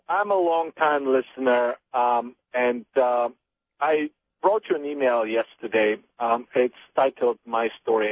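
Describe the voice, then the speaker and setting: A man's voice: medium pitch, rough recording. A young man whose first language is Bulgarian, calling into a radio show and speaking hesitantly with many ums. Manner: hesitant, lots of ums, earnest. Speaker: caller. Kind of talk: phone-in call.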